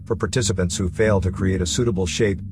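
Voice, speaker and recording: A man's voice: low-pitched, steady tone, quick pace. A man reading a scripted story recap as a narrator. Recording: music running behind the voice. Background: background music.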